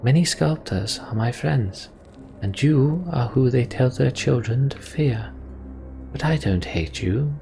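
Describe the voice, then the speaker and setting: Scheming woman healer from a game, male reader, sly, persuasive, drawn-out, creaky. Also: medium pitch, expressive, over music, voice pushed up. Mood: sly, friendly. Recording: background noise.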